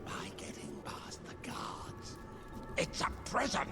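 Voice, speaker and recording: A man's voice: fairly high pitch, huge pitch swings, slow pace, whispered, heavily acted. An actor playing an agitated spirit demon trapped inside a man, speaking in emphatic, erratic bursts in a video game. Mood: agitated, insistent. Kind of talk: video game character dialogue. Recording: loud music behind, long hall reverb.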